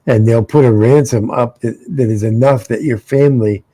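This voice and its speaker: A man's voice: mid-range voice, normal speed, dark tone, no echo. A middle-aged American man, co-hosting a casual panel chat, rambling and repeating his words. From United States. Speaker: co-host.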